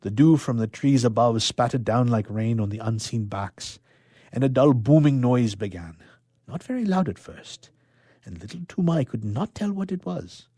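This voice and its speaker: A man narrating a story dramatically, with expressive, suspenseful delivery and phrases that drop to a hush. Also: medium pitch, moderate pace, dry recording, clean studio sound. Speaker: storyteller, reader.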